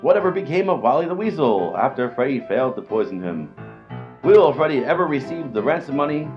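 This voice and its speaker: Animated male serial announcer over music. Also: mid-range voice, fairly quick, dull audio. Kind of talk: mock-dramatic radio serial narration.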